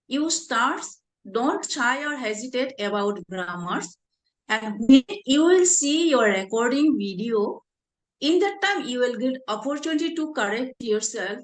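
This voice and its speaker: A woman, asking questions in a casual practice chat, halting and searching for words. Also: sits high. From India. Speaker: interviewer. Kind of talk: casual English practice conversation. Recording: echoey room.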